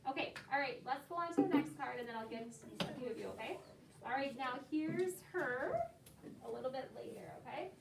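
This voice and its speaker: A woman with a fairly high voice leads a read-aloud discussion as a schoolteacher. She explains things simply and encouragingly for children. The recording is distant and echoey, with clear noise and clicks. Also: a little fast. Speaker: elementary school teacher.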